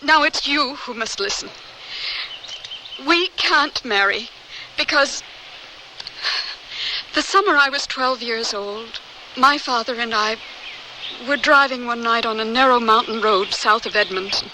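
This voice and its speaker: An actress playing a grave, anguished woman in love in a radio drama, halting and emotional. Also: a little high, sharp tone, a little hiss or hum, rough recording. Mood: grave, pained.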